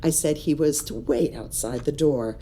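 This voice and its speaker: An actress playing a breezy, evasive spaceship crew member with offhand teasing, in a comic audio drama. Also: female voice, sits low, moderate pace, warm tone, a little hiss or hum, lightly acted. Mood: breezy, impatient. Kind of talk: comic science fiction audio drama acting.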